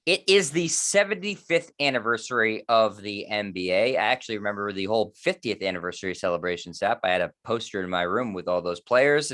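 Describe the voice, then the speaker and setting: Lower-voiced man in his thirties hosting. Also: a bit bright. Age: 30s. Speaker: podcast host. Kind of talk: casual sports podcast talk.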